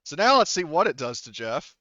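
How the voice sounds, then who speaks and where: A man's voice: on the high side, very animated, a little fast, pushed and bright, no room sound, muffled recording. A man running a tabletop roleplaying game as game master, talking casually and hesitantly as he thinks through rules and rolls aloud. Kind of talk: casual unscripted game narration and rules talk.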